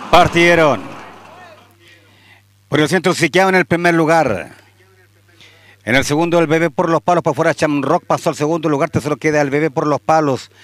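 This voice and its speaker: A man with a Chilean accent calling horse races live, steady through the field and louder and higher at the finish. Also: normal speed, pauses often, dry recording. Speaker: horse racing announcer. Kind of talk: live race commentary.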